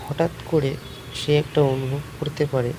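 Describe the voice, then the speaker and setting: A man's voice: medium pitch, steady tone, moderate pace, heavy background noise. A man narrating a scripted horror story in storytelling fashion. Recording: loud music under the voice. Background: loud background music.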